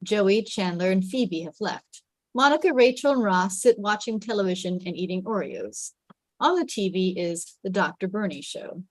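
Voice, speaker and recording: A woman's voice: medium pitch, expressive, normal speed, no room sound, poor audio. A woman reading out stage directions as the narrator of a sitcom table read. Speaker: script narrator.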